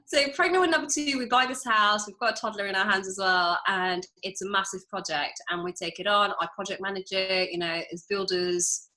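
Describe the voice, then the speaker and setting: Woman guest, 30s, from France, chatty storyteller, bright and lively tone. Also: mid-range voice, low-quality recording. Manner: chatty, laughs often, quick asides. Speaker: interior designer, guest. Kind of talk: casual interview storytelling.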